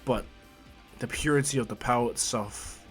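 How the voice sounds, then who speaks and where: A man voicing a huge, aging male top hero who speaks in a low, earnest, pleading tone. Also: moderate pace, faint music behind, lightly acted.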